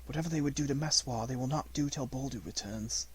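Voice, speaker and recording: A man's voice: medium pitch, fast talker, breathy edge, lightly acted. A man voicing a bold boy raised by wolves, giving terse, archaic commands in a storybook. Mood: puzzled, resolute.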